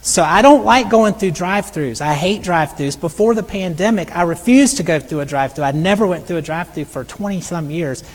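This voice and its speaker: A middle-aged male missionary preacher with a soft, high voice that is often taken for a woman's, telling stories in a conversational sermon with frequent restarts. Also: expressive, a little fast, few pauses. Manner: conversational storytelling with repeated restarts. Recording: long hall reverb.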